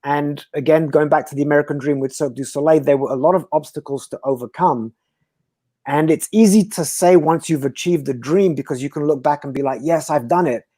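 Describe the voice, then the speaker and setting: A man from Belgium, a guest speaker talking earnestly and philosophically in slow, emphatic phrases. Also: fairly high pitch, mellow, dry recording, studio quality. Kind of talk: reflective conversational talk.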